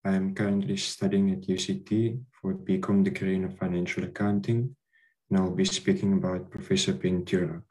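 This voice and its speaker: A man's voice: low-pitched, flat delivery, normal speed, mellow, poor audio. An eighteen-year-old man, a student, introducing himself formally on a video call. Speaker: student panelist.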